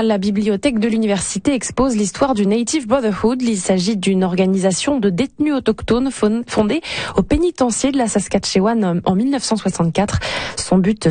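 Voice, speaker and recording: Lady reading the news on radio, soft dark tone, super dry studio. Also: mid-range voice, dry recording.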